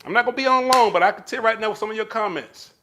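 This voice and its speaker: A man's voice: high voice, racing, slight background noise. A man hosting a casual live stream, reading viewer comments and giving relationship advice, calling viewers baby. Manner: animated, addresses viewers with pet names like baby. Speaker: livestream host answering viewer comments.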